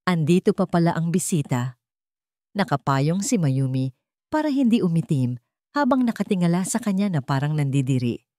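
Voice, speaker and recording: A woman's voice: sits low, sing-song, normal speed, warm tone, dry recording, clean studio sound. A woman with a Filipino accent telling a romantic drama as a scripted storyteller.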